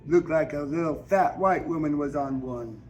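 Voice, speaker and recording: A man's voice: fairly high pitch, normal speed, a little mellow, soft background music, natural voice. A man reading the part of a plainspoken, earnest Southern woman in a novel, in folksy dialect. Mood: fond, proud. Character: plainspoken Southern woman, devoted to her sister. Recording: noisy public store ambience.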